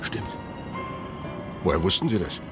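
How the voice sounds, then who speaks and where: A man's voice: deep voice, sing-song, a little slow, music in the background. An actor playing a wary, cynical man in a radio play, terse and matter-of-fact. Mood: calculating. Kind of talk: radio drama dialogue. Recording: clear outdoor ambient noise.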